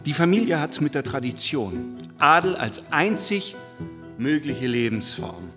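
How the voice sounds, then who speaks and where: An actor playing an eager, slick TV pitchman in a radio play, talking in halting salesman-like bursts with dramatic emphasis. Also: sing-song. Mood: eager, persuasive. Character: eager, slick male TV series pitchman, chatty and insistent. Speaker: screenwriter pitching a series. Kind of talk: theatrical radio play monologue. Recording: long reverb, clear music behind.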